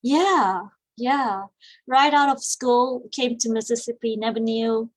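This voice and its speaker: A woman's voice: on the high side, slow pace, no room sound. A woman in her forties from Malaysia, a guest talking casually in an interview, chatty and laughing easily. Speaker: guest, business founder.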